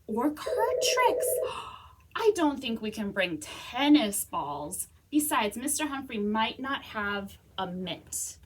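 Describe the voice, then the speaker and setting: A woman reading a children's story aloud as a storytime reader, expressively, with dramatic pauses and whispers. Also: fairly high pitch, very animated, breathy edge. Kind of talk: animated children's read-aloud.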